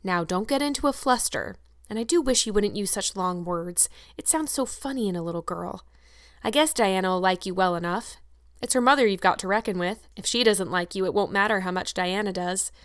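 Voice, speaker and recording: A woman playing a brisk, stern, practical guardian in a storybook, scolding in a no-nonsense but kindly way. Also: mid-range voice, smooth, dry recording, studio quality, close to an everyday voice. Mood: brisk admonishing. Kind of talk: audiobook character acting.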